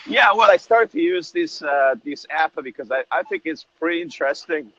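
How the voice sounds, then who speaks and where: A man whose first language is Italian, a music business lecturer talking as a guest, enthusiastic but halting with many fillers. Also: fairly high pitch, animated, a bit bright. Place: Dublin, Ireland. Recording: dull lo-fi app call with faint noise.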